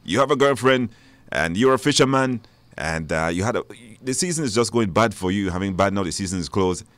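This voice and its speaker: A man hosting a live radio phone-in, speaking haltingly and repeating words. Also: on the low side, lively intonation, a bit bright, dry recording. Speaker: radio talk show host. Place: radio studio.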